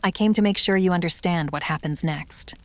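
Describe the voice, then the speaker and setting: The firm, formal woman across the table in a noir radio drama's showdown, voice slightly low, dictating terms, played by a woman. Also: fairly quick, no room sound, natural voice. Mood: firm, controlled. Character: steely woman negotiator laying down ultimatums. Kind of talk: audio drama acting.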